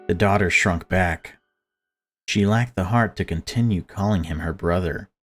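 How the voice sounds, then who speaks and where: A man's voice: low voice, measured pace, fairly bright tone, faint music behind. A man reading a visual novel aloud as a let's player, breaking off into casual commentary.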